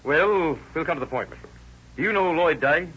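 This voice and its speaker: A man's voice: fairly high pitch, brisk, fairly bright tone, slightly rough. A man playing a businesslike, insistent sheriff's deputy with a clipped manner in a 1930s radio drama. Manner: clipped, insistent, matter-of-fact. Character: firm, businesslike male sheriff's deputy questioning witnesses. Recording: noisy muffled old-time radio recording.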